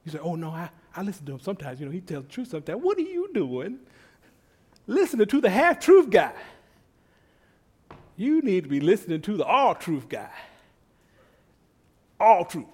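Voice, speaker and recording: A middle-aged man preaching a casual, folksy sermon, soft-spoken at rest and building to excited calls of praise. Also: high voice, normal speed, pauses often, husky. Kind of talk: conversational sermon.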